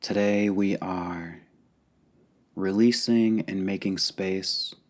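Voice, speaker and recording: Slow, low-pitched man guiding meditation. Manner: calm, deliberate, pausing between short phrases. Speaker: meditation guide. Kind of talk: guided meditation, slow and spacious.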